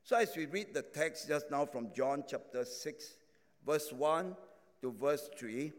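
A man preaching a sermon and sharing his testimony, in non-native English phrasing with halting restarts. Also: a little high. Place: large echoing hall. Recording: echoing hall.

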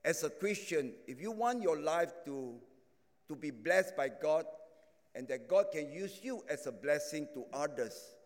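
A man's voice: a little high, expressive. Man preaching in an echoing hall. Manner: non-native English phrasing, halting restarts. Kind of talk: sermon with testimony.

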